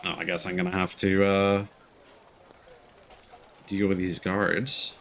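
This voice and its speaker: A man's voice: sits low, flat delivery, slow pace, a bit bright, slight background noise. A man hosting a livestream, chatting casually and commentating on his game with rambling, wry asides. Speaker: livestream gamer and host. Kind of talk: casual gameplay commentary and chat.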